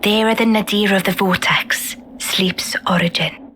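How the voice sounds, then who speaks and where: An actress playing a wise, dignified queen in a game cutscene, speaking formally and cryptically with breathy line endings. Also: mid-range voice, fairly even intonation, measured pace, a bit bright, a little hiss or hum, lightly acted. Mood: solemn, cryptic. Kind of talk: video game cutscene acting.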